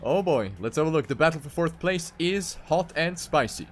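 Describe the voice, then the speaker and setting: Higher-voiced man commentating a racing game. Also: lively intonation, moderate pace, a little hiss or hum. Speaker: esports commentator.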